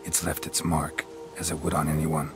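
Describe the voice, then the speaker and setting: An actor playing a weary, stoic monster hunter in a video game, deadpan and restrained, with a gravelly low voice. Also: male voice, moderate pace. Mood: grave, matter-of-fact. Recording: loud music behind. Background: loud game music.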